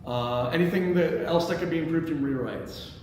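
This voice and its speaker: A man's voice: fairly high pitch, normal speed. Male workshop host, mid-range, thinking aloud with stumbles and repeats; distant mic in a noisy, very echoey hall. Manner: hesitant, repeats words while thinking aloud. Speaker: host and stage-direction reader of a screenplay table read. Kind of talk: reading action lines and giving feedback to a group.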